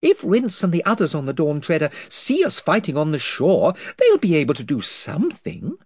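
A man's voice: fairly high pitch, wildly swooping pitch, normal speed, darkish tone, breathy edge, dry recording, studio quality, voice pushed up, heavily acted. A man voicing an earnest young girl who is a queen.

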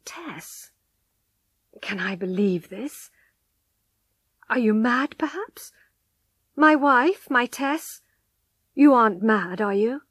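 A woman voicing a shocked, bitter husband in a dramatic story, stern and halting. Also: a little high, animated, no room sound, clean studio sound. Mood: stunned disbelief. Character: stunned husband, bitter, cold, unforgiving, bewildered.

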